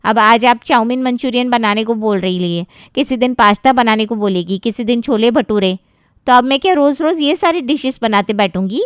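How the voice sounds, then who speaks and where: A woman's voice: a little high, moderate pace, smooth, dry recording, studio quality, lightly acted. A woman playing a brash, defiant hired cook who complains and talks back in a family story. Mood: grumbling. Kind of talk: dramatized Hindi family story dialogue.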